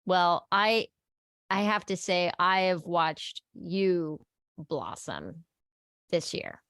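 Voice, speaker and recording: A woman's voice: lowish voice, expressive, very slow, fairly bright tone, clear, resonant tone, dry recording, studio quality. A woman in her fifties from Texas hosting a casual video call chat, playful and chatty. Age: 50s. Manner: playful, chatty, laughs often. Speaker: podcast host.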